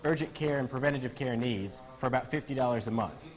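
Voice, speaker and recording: Brief: male doctor guest, even mid-pitched voice, calm explainer on a news segment. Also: fast talker, low-quality recording. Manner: explanatory, steady. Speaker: physician guest. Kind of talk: TV interview.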